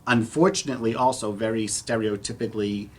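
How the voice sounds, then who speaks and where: A man's voice: lowish voice, fairly quick, husky. A man talking casually as a panelist at a community theater discussion.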